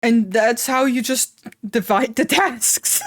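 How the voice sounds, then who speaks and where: A woman's voice: a little high, expressive, slow pace, a bit bright, no room sound, studio quality. A woman streamer chatting casually with her viewers, slightly congested and wheezy, swearing casually and laughing often. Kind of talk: casual live-stream chat.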